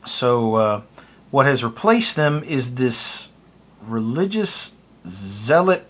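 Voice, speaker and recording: A middle-aged man with an American accent speaks in a mid-pitched voice, close to the device in a small room. He delivers a casual, opinionated monologue. His manner is blunt and wry. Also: fairly bright tone. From United States. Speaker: hobbyist video blogger. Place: small indoor room by a window. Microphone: phone or webcam close to the face.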